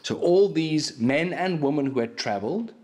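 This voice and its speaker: A man's voice: on the high side, expressive, moderate pace, a little mellow. A man preaches a sermon in a small church hall. His is Afrikaans, and he talks animatedly into a stand microphone. His thoughts tumble out with frequent restarts. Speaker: pastor. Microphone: stand mic in front of him.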